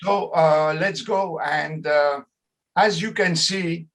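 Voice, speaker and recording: A middle-aged sociologist with a French accent gives a lecture over a video call. He speaks slowly in a slightly high voice, pausing and restarting often as he searches for words in English. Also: a bit bright. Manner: slow, halting, many fillers and restarts. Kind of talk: online lecture.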